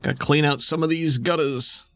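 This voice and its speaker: A man's voice: fairly high pitch, steady tone, normal speed, fairly bright tone, no echo, soft background music. A man narrating a tabletop game as game master in a dramatic storytelling style.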